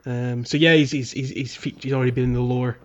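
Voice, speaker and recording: A man with a mid-range voice co-hosts a gaming podcast. He talks casually and explains things haltingly, restarting his sentences as he goes. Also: medium pitch, level tone, muffled recording, a little hiss or hum. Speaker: podcast co-host. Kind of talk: casual chat about a video game.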